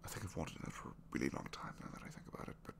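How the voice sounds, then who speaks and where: A man's voice: sits low, very animated, normal speed, gravelly, fairly bright tone, a little hiss or hum, full character voice. A man acting out a bashful, flirtatious supervillain with a good heart in an intimate ASMR roleplay, speaking hesitantly with sheepish stammers. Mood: earnest, shy.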